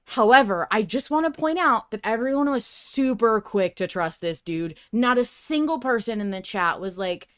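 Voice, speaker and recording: Woman podcast co-host, higher-pitched voice, argumentative and emphatic casual discussion of fiction. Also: lively intonation, moderate pace, few pauses, fairly bright tone, clean tone. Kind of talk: animated casual discussion of an audio drama.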